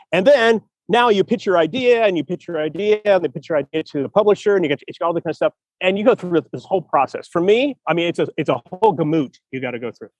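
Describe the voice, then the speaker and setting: Chatty man with a higher voice. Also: a little mellow, no room sound. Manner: chatty, laughs often, restarts sentences. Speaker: podcast host. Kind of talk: casual chat about writing.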